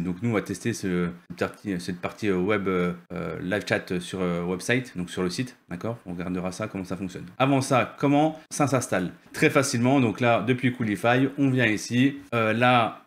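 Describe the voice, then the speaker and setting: Low-voiced man giving a casual tutorial. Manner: hesitant, unscripted, repeating words and checking with "d'accord". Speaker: tutorial video host. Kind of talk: casual software tutorial with screen share.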